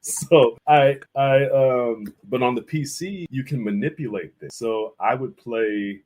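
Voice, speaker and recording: A man's voice: mid-range voice, a little slow, a little mellow, a little hiss or hum, rough recording. A middle-aged man speaking casually as an interview guest, rambling through stories and acting out quotes as he goes. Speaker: interview guest, visual artist and art teacher.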